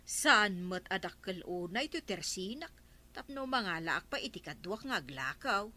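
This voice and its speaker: Bright, frank village neighbour. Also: female voice, mid-range voice, sing-song, moderate pace. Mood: advising. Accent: Ilocano. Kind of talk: Ilocano radio comedy drama acting.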